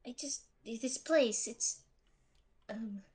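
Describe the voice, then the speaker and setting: A woman acts out a timid young boy demigod in a fantasy audio drama. The voice is high and slow, with hesitant, stammering, apologetic phrasing. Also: darkish tone, slightly rough, heavily acted.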